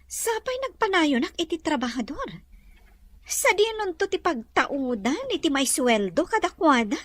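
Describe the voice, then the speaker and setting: A woman acting a high-pitched, demanding resort boss lady. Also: sing-song, a little slow, no room sound. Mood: irritated, worried. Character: worried, demanding female resort owner, addressed as madam. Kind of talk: Ilocano audio drama acting.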